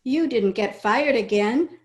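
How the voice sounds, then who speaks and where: A woman's voice: fairly high pitch, lively intonation, takes it slowly. A woman playing a pert, opinionated teenage niece in an old-time radio comedy, challenging her elders. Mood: questioning. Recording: roomy remote home recording.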